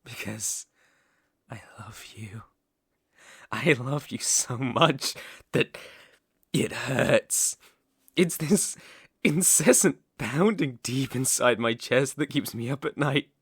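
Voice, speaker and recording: A man's voice: mid-range voice, fairly bright tone, husky, dry recording, heavily acted. A man playing an obsessive, sinister young villain king in an ASMR roleplay, speaking theatrically and sometimes dropping to a whisper. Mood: fevered devotion. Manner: sinister, theatrical, slow drawn-out phrasing with whispers.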